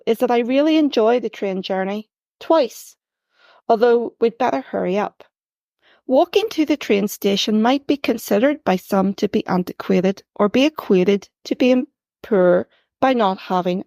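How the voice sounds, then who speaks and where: A woman reads her own first-person short story in a measured, gently expressive way. Her voice sits a little high and has a gravelly edge, and the recording is close and dry. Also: dry recording. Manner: measured, gently expressive reading, lifting her voice for quoted dialogue.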